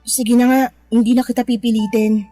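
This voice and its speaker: Woman acting a high-voiced, coaxing young girlfriend. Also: little pitch movement, a little fast, mellow, faint music behind, close to an everyday voice. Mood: pleading. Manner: coaxing, cajoling. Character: pregnant young girlfriend, coaxing, sweet-talking, placating. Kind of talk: Tagalog radio drama acting.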